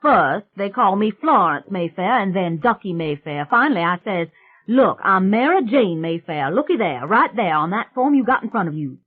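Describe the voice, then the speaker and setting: A woman voicing a brash young country woman who chatters loudly and gushes. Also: mid-range voice, very animated, slightly rough, pitched above the performer's own voice. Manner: loud, gushing, rapid chatter. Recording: tinny phone-like sound.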